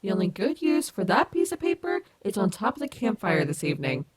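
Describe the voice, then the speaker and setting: A woman's voice: medium pitch, big pitch swings, a little fast, slightly rough. A woman playing a cocky but caring animatronic wolf rock star in an ASMR audio roleplay, teasing and affectionate. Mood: baffled, dismissive.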